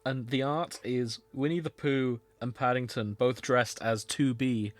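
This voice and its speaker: A man's voice: mid-range voice, steady tone, a little slow. Guy hosting a comedy podcast, normal mid voice, chatty and amused. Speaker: podcast host. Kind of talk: casual comedic podcast chat.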